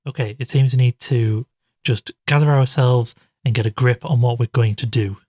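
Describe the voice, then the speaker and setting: A man playing a sceptical, weary investigator in an audio drama, measured and questioning as he talks and narrates. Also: mid-range voice, fairly even intonation, darkish tone, dry recording, dull audio, lightly acted. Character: sceptical, weary male investigator recounting strange events.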